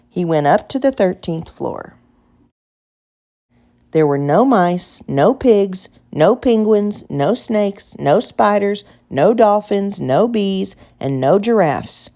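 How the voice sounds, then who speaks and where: A woman reading a children's picture book aloud as expressive, scripted narration. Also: medium pitch, slow pace. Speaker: storybook reader.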